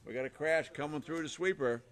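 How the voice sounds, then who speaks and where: A man calling a live RC car race with a hoarse, fading voice, rattling off names and lap times in short bursts. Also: fairly high pitch, fairly quick. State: hoarse, losing his voice. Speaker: race announcer.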